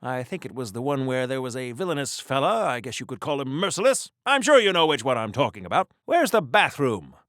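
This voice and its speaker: An actor playing a pompous, patriotic golden-age superhero soldier in a video game, with theatrical 1940s serial delivery and old-fashioned wisecracking. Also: male voice, medium pitch, very animated, fairly quick, few pauses, a bit bright, no echo, clean studio sound. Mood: chatty, musing. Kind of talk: 1940s serial hero acting, game voice lines.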